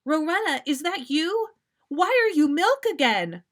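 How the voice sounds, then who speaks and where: Woman as teenage boy, earnest, overwrought, easily panicked, raised pitch, storybook hero. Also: sing-song, a little slow, a bit bright, heavily acted. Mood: distraught. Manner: overwrought, exclaiming.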